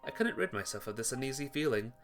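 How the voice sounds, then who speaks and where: A man's voice: mid-range voice, lively intonation, fast talker, fairly bright tone, faint music behind. A man reading visual novel text aloud during a playthrough, sometimes stumbling and rereading phrases. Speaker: video game player reading aloud.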